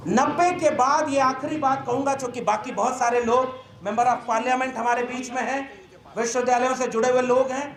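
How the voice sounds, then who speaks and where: High-pitched, indignant man orator in echoey room. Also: fairly even intonation, fairly quick, fairly bright tone. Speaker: university professor and activist speaker. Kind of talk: press conference speech. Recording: echoey room with faint background noise.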